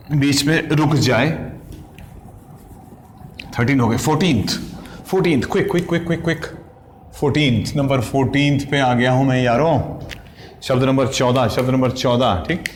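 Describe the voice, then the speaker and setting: A man, teaching exam vocabulary in a brisk, chatty way. He spells each word out letter by letter, stops to ask if you follow, and corrects himself as he goes. The room sounds echoey, with a faint hum of ambient noise. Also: mid-range voice. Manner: spells words letter by letter, repeats "ठीक?" constantly. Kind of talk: exam-prep vocabulary lesson.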